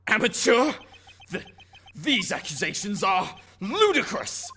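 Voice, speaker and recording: A man performs an arrogant male prosecutor for a game fan dub. The voice is high and husky, with slow, pompous delivery that swings widely in pitch. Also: sing-song, pushed and bright, full character voice. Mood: contemptuous. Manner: pompous, dramatic. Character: haughty, indignant male rival prosecutor.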